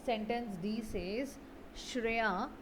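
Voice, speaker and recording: A woman's voice: fairly high pitch, extremely slow, noisy background. A woman English grammar teacher explaining exercise answers in a didactic way, often checking understanding with tag questions.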